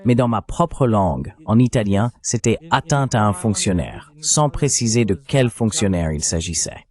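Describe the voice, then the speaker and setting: A man with a fairly low voice speaks calmly and steadily as an interviewee. He lays out his points one at a time in a measured way. The delivery is an even voice-over. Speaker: interviewee, protester.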